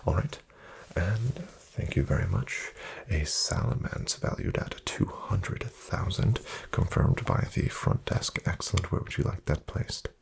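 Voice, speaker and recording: A man speaks very softly in a low voice full of heavy creak, often sinking toward a whisper. He takes his time, playing a courteous, formal casino dealer for an ASMR roleplay. There is clear noise in the recording, with cards shuffling and clicking behind him. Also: lively intonation, unhurried, few pauses. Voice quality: breathy, gravelly, often near whisper. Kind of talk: soft-spoken ASMR roleplay. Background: card shuffling and clicks.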